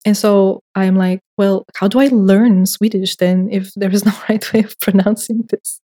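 Bulgarian-accented woman, mellow and hesitant. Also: mid-range voice, fairly even intonation, moderate pace. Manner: thoughtful, hesitant, laughs between phrases. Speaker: director of records and research. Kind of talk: casual podcast interview.

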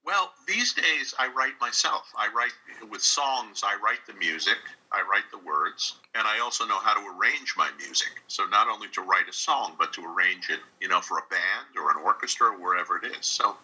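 Bright, raspy man from New York. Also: on the low side, lively intonation, normal speed, hardly any pauses, poor audio. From New York, United States. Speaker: interview guest, radio drama director and instructor. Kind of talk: conversational interview answers. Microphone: video call.

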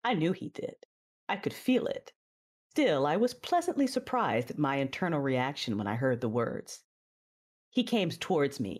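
A woman acting a proud, passionate dark lady in an audio drama, narrating in the first person with intense, deliberate delivery. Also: a bass voice. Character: proud dark Sith lady, commanding, passionate, wounded by betrayal. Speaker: narrator and lead character. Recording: music under the voice. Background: music.

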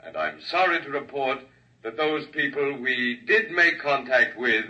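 Stately alien speaker, formal and bright-toned, muffled old radio sound from off-mic. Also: male voice, medium pitch. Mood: solemn. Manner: formal, measured oratory. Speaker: alien speaker addressing a crowd. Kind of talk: 1950s radio drama acting. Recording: muffled 1950s radio broadcast, distant mic.

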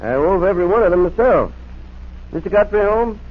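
High, animated folksy peddler on old radio. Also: male voice, brisk. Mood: friendly, curious. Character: inquisitive, polite male travelling basket peddler. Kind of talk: 1940s radio acting. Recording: muffled, noisy 1940s radio recording.

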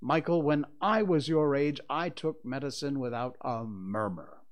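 A man's voice: mid-range voice, a little slow, highs cut off, voice pushed up, natural voice. A man voicing a pompous, cowardly, blustering father of young children in a storybook reading. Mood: pompous, boastful. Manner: pompous, indignant, self-justifying.

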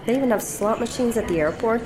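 An actress playing an unhappy, needling wife in an audio drama, pointed and challenging in a naturalistic way. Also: female voice, on the high side, fast, music in the background, natural voice, low-quality recording.

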